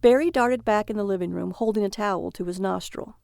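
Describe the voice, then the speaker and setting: A woman's voice: medium pitch, fairly quick, gravelly, a little mellow, no echo, close to an everyday voice. Brief: childish easygoing husband, hurt-little-boy sulk, dry close sound, woman narrator voicing him.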